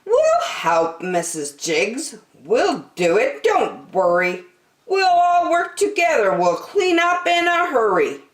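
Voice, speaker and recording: A woman's voice: sits high, sing-song, takes it slowly, distant mic, voice pushed up, full character voice. A woman voicing eager, helpful young children in a storybook, chirpy and reassuring. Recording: roomy, echoing sound.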